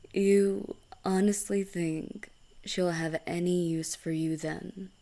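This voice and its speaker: A woman acts out a female assassin in a fantasy audio drama. Her voice is dark and mellow, with a gravelly fry, and she takes her time over every taunt. She sounds smug, sly and coolly seductive. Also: fairly low pitch, little pitch movement. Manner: mocking, unhurried, breathy chuckles. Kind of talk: fantasy audio roleplay acting.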